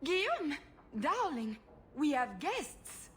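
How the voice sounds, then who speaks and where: An actress playing a flighty, high-strung noblewoman mother in a video game, evasive and theatrical. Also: sing-song, slow pace, slight background noise, exaggerated voice. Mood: flustered, scolding.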